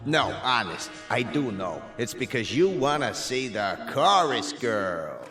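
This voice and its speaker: A man's voice: medium pitch, sing-song, unhurried. A man playing a corny, leering nightclub comic emcee in a radio play, with showy wisecracking patter. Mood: jokey. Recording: echoey room, loud backing music.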